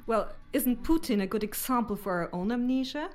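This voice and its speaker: A woman's voice: fairly high pitch, expressive, brisk, a little mellow, faint music behind. A woman co-hosting a podcast with scripted commentary. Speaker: podcast host.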